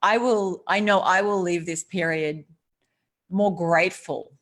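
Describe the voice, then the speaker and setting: A woman's voice: lowish voice, fairly even intonation, measured pace, a bit bright. A middle-aged woman with an Australian accent, speaking earnestly and reflectively as an author guest over a video call, often restarting her sentences. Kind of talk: casual interview chat.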